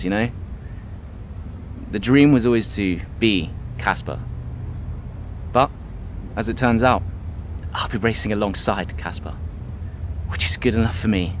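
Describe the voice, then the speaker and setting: A man's voice: lowish voice, a little hiss or hum, lightly acted. An actor playing a humble, eager young male rookie racing driver in a game cutscene. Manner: modest, joking.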